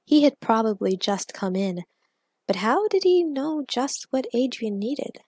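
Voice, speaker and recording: Slow-paced woman narrating a story. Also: on the high side, lively intonation, a little mellow, slightly rough, no room sound, studio quality. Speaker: narrator and author of fan fiction. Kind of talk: expressive storybook-style narration.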